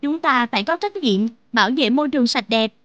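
A woman voicing a caring, responsible older-sister girl in a children's cartoon, gently instructive. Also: high voice, lively intonation, brisk, dry recording, dull audio. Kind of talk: animated children's series dubbing.

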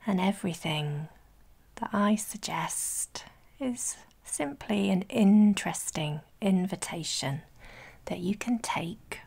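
A woman leading a guided sleep meditation in a breathy, whispery soft voice, soothing and gentle, with long pauses between phrases. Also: medium pitch, expressive. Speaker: guided relaxation instructor.

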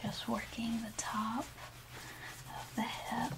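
A woman's voice: fairly high pitch, monotone, slow pace. A woman in her thirties whispering breathily in a soothing, instructive ASMR massage role play. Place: small indoor room. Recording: clearly noisy, with rubbing sounds.

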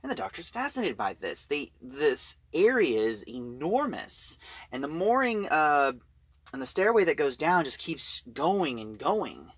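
A man's voice: fairly high pitch, sing-song, measured pace, a bit bright, no echo. Man recapping a story, very dry sound. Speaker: audio drama reviewer.

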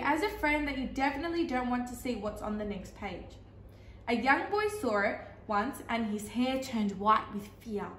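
A woman's voice: very high-pitched, pushed and bright, full character voice. A woman voicing an exasperated, pleading storybook character in a dramatic, emphatic children's read-aloud. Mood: earnest pleading. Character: pleading, exasperated talking picture-book character, increasingly frantic. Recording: echoey, roomy sound.

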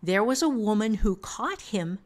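A woman's voice: medium pitch, animated, measured pace. A woman co-hosting a podcast, talking casually and unscripted, with an emphatic, moralizing manner. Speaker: podcast co-host.

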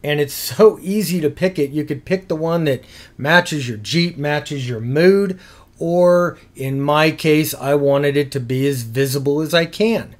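A man's voice: fairly high pitch, unhurried. A man in his fifties giving a casual, plain-spoken product review in an easygoing explaining way. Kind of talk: casual scripted review narration.

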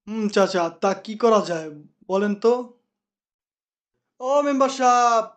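A man's voice: sits high, unhurried. A man playing an eager, complaining village youngster in a cartoon. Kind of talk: cartoon dialogue.

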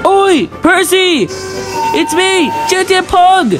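A man voicing a cheery, friendly tank engine in a children's story, hailing others loudly. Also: expressive, slow, music in the background, exaggerated voice. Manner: loud, high, hailing calls.

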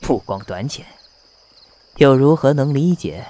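A man acting in a costume audio drama as a composed, shrewd prince, speaking with measured, deliberate pauses and cold disdain. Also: mid-range voice, expressive, noisy background. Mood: solemn, disdainful. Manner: measured, deliberate, pausing between phrases.

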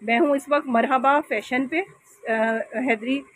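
A woman's voice: sits high, unhurried, a bit bright, dry recording. A woman vlogger from Pakistan touring a clothing shop, praising each dress with enthusiasm and repeating what the seller says. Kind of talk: shop tour vlog, presenting dresses. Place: small clothing shop in a mall.

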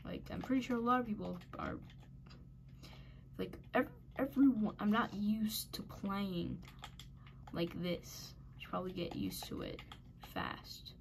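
A woman giving casual commentary while playing a game, muttering and mocking herself in frustration, a little raspy at times. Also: medium pitch, unhurried, a little hiss or hum. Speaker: gaming channel host.

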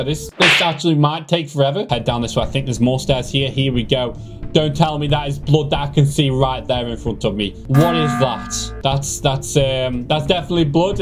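High-pitched, excitable man playing horror games. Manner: excitable, panicky, repeats phrases. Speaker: gaming video creator. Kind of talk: horror game playthrough commentary. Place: indoor home gaming room. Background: loud game music.

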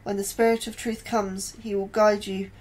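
A woman's voice: mid-range voice, flat delivery, moderate pace, a little mellow, natural voice. A woman playing an anxious, guilt-ridden astrobiologist in a sci-fi horror audio drama. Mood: reciting scripture. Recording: clear background noise and ambience.

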